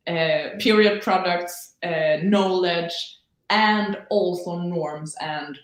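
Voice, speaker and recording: Lower-voiced woman, very echoey room. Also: slow pace. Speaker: nonprofit representative, interview guest. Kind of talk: casual interview explanation.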